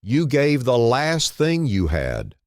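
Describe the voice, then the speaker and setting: A man voicing a calm, kind, mysterious stranger in a dramatic story, steady and quietly certain. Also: mid-range voice, slow, no echo, clean studio sound, pitched above the performer's own voice, full character voice. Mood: calm, knowing.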